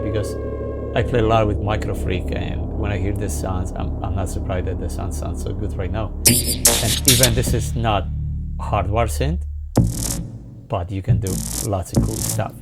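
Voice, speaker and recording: Middle-aged man, low creaky voice. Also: fairly even intonation. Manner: halting, informal, rambling. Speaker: sound designer demonstrating a software synth. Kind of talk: casual product demo. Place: small home music studio, indoors. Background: synth sounds and music.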